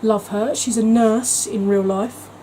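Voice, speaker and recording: Mellow woman chatting warmly. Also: medium pitch, steady tone, unhurried, soft background music, rough recording. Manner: chatty and gushing, with frequent ums. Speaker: beauty vlogger. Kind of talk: casual vlog chat.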